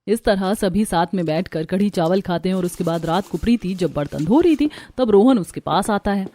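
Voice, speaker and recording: A woman's voice: mid-range voice, animated, normal speed, dark tone. A woman reading a scripted story as narrator. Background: music.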